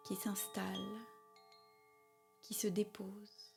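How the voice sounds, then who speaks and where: A woman guiding a yoga nidra meditation in a soft, breathy, calm and soothing voice, with long pauses and repeated phrasing. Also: medium pitch, faint music behind. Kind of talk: guided meditation, spoken slowly.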